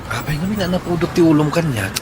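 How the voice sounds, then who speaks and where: A man's voice: fairly high pitch, expressive, fast, noisy background, natural voice. A man playing an earnest young Filipino suitor in a radio drama. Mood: pleading.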